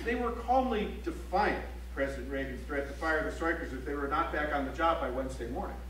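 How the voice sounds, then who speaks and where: High-voiced serious reporter, echoey hall. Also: male voice, expressive, brisk, fairly bright tone. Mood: grave, emphatic. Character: serious newspaper reporter reciting strike news. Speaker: stage actor. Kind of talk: theatrical reading of news reports. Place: library auditorium stage, live play. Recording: echoey auditorium from far off, faint hum.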